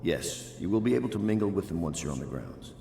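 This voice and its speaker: Low-voiced dignified old military commander. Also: male voice, big pitch swings, vocal fry, heavily acted. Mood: firm. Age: middle-aged. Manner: formal, measured, deliberate. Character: dignified older military man, earnest conspirator leader. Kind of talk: video game voice acting. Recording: long echoey hall, faint background noise.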